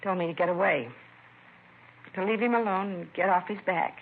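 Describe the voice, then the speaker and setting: A woman's voice: on the low side, moderate pace, vocal fry. An actress playing a distraught, protective American mother in her early forties, in old-time radio crime drama style. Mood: upset, subdued. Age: middle-aged. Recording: tinny, hissy vintage radio broadcast.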